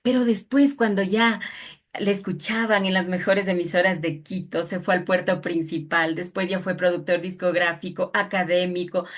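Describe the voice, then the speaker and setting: Woman interviewer with medium pitch. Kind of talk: conversational interview questioning.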